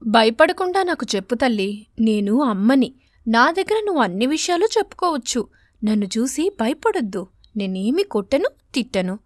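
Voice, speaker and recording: Woman as a high-voiced, soothing mother sparrow. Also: sing-song, normal speed, dry recording, clean studio sound. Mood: soothing, coaxing. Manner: soothing, coaxing, tender. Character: gentle protective mother sparrow, soothing her child.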